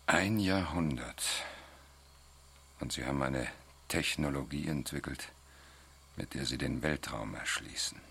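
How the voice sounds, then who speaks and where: An actor playing a thoughtful, deliberate male investigator in a science fiction radio drama. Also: rumbling low, creaky, fairly bright tone, slight background noise, exaggerated voice. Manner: pensive, deliberate. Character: thoughtful, speculating male investigator musing on alien mysteries.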